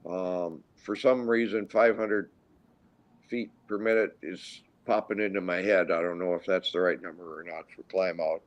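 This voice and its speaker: A man in his sixties from Minnesota, a guest pilot telling stories in a rambling, halting way with many restarts. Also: low-pitched, steady tone, lo-fi sound. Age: 60s. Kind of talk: casual storytelling interview.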